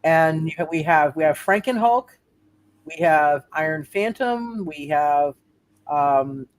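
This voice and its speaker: Man, high voice, game master, casual tabletop narration, rambling, repeats phrases. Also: animated, slow.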